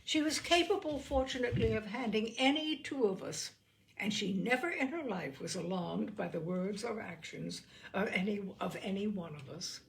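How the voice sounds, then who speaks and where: A woman reading a literary story aloud as an expressive narrator. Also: mid-range voice, moderate pace, gravelly, low-quality recording. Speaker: storyteller, reader.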